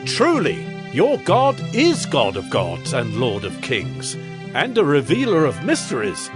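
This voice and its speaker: An actor playing a proud, awed king of Babylon, speaking in a grand, halting, declamatory way in a scripture audio drama. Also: medium pitch, wildly swooping pitch. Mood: awed, reverent. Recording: loud music under the voice.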